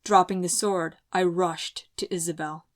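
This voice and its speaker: Slow, solemn woman storyteller. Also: on the low side, fairly even intonation. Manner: measured, solemn reading. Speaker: reader, audiobook narrator. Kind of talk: first-person storybook narration.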